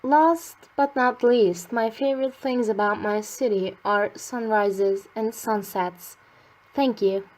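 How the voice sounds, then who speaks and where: A woman's voice: fairly high pitch, slow pace. Young woman, faint background hiss. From Turkey. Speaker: student presenter. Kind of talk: scripted video presentation.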